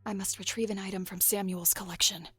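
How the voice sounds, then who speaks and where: A woman's voice: medium pitch, steady tone, fast talker, fairly bright tone, husky, dry recording, faint music behind. A woman playing a cool, self-reliant town defender in an audio drama, speaking formally. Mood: composed, firm. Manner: formal, composed.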